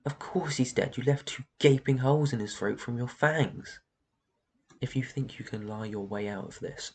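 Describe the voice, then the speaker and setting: A man's voice: on the low side, creaky, a little mellow, husky, exaggerated voice. A man playing a brooding, protective vampire in an intense fantasy ASMR roleplay. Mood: angry, commanding. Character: brooding protective male vampire guarding his human flock.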